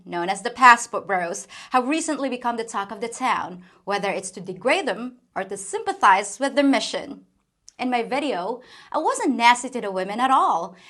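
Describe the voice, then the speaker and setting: A woman's voice: mid-range voice, a bit bright. A woman from the Philippines hosting a scripted commentary video, speaking with wry, playful sarcasm. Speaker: commentary channel host.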